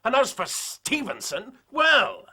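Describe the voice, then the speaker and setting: An actor playing an excitable young rugby captain in a radio drama, English-accented, pouring out words in an agitated rush. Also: male voice, very high voice, slow, husky, full character voice. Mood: frantic, pleading. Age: young adult. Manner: agitated, breathless torrent of talk.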